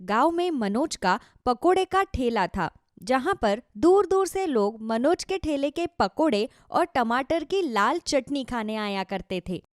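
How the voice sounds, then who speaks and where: High-pitched woman narrating a moral story. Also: very animated, unhurried, no room sound, clean studio sound.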